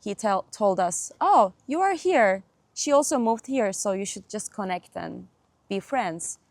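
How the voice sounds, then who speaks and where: A young woman from Turkey, whose first language is Turkish, chatting as a guest musician in an interview, laughing often and pausing with fillers. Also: mid-range voice, expressive, no echo. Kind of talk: casual interview chat.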